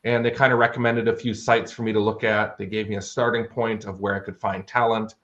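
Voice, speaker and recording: A man's voice: on the low side, flat delivery, a little fast, a bit bright. A man chatting casually as an interview guest, telling anecdotes in a conversational way. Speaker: guest author and audio drama producer. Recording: dull, lo-fi audio.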